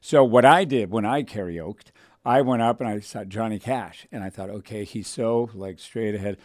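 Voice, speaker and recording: A man in his forties hosting a radio talk show, telling stories in an animated way and putting on voices when he quotes people. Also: lowish voice, moderate pace, no room sound, clean studio sound. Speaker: radio host. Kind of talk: casual radio show chat. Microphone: studio microphone.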